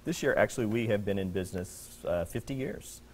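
Low-voiced relaxed male design guest. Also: a little fast, a little hiss or hum. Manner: relaxed, a little hesitant. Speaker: kitchen and bath designer, guest. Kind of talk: TV interview. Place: TV studio set. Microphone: lapel mic.

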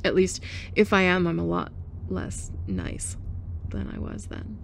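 Young woman, gravelly voice, unhurried delivery, sarcastic, awkward, faint room hiss. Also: on the low side, big pitch swings. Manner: abrasive, sarcastic, awkward, laughing softly. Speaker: ASMR roleplay performer. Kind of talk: scripted roleplay monologue to a listener.

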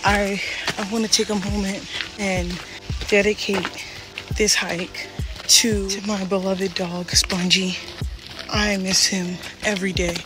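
A young woman films herself on a forest trail with her phone held out at arm's length, chatting playfully between breaths. Her voice sits at a middle pitch, and music plays clearly behind her. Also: level tone, slow pace, poor audio. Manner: chatty, playful and reflective, talks while walking. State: out of breath from hiking. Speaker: vlogger. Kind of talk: casual hiking vlog to camera.

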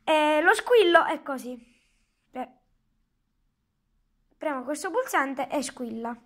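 Casual product reviewer, high voice, unhurried halting explanations. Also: lively intonation, a bit bright. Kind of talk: casual hands-on product demonstration. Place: indoors at a table at home. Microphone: handheld phone.

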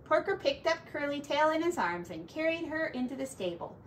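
A middle-aged woman reading a picture book aloud to children like a teacher, with deliberate, drawn-out words and little asides. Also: high-pitched, big pitch swings, slight background noise. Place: small furnished room at home.

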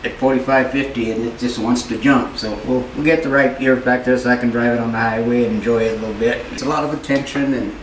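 A man's voice: medium pitch, steady tone, quick pace, hardly any pauses, far from the mic, a little hiss or hum. An older man, a classic car owner, talking in a laid-back, folksy way about his cars in a garage. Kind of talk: casual chat. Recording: roomy garage sound from off mic.

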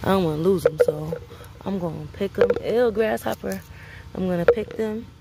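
A woman's voice: medium pitch, fairly even intonation, moderate pace, vocal fry, heavy background noise. A woman from Brooklyn, chatting casually to viewers while picking peppers in a sunny outdoor garden, filmed on a phone. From Brooklyn, New York City. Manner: chatty, addresses viewers as guys. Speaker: homestead vlogger.

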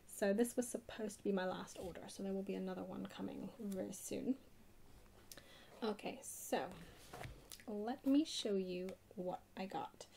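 Casting: female ASMR haul host, soft-spoken and half-whispering, mellow and creaky, casual delivery. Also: medium pitch. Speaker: video host. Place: indoors at a table.

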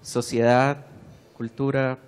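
Smooth-voiced man asking from the audience. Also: mid-range voice, little pitch movement, takes it slowly. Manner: polite, hesitant. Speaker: audience member asking a question. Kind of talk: question from the floor.

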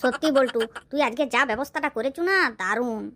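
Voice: medium pitch, fast, a bit bright. A performer voicing a shameless, easygoing village drunkard in a comic cartoon. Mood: cheerful, brazen.

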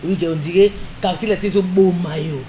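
A woman hosting an interview, animated and quick with interjections, mixing two languages. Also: lowish voice. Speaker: interviewer host. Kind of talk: lively interview chat. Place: studio set with wooden walls, indoors. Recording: echoey room with clear background noise.

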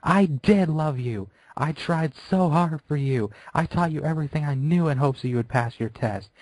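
A man acts out a cruel pegasus mare turned villain in a storybook reading. She yells with seething fury in a raised, strained voice, and the recording stays close and dry. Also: medium pitch, fast, no echo, voice pushed up, lightly acted, poor audio. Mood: furious. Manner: shouting, manic. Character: villainous female pegasus, furious and gloating.

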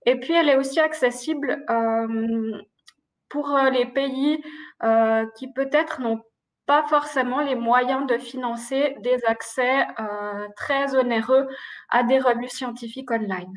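A woman, a library director answering on a video call panel in a structured, measured way. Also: high-pitched, fairly even intonation. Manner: structured, measured, some fillers. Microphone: video call, laptop webcam.

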